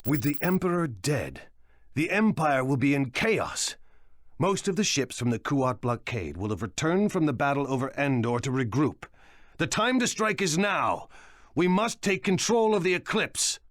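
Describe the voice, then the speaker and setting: An actor playing a smug, ambitious crime lord in a video game, wry and grandiose in manner. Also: male voice, on the high side, big pitch swings, moderate pace, no echo, studio quality. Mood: scheming. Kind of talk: video game cutscene acting.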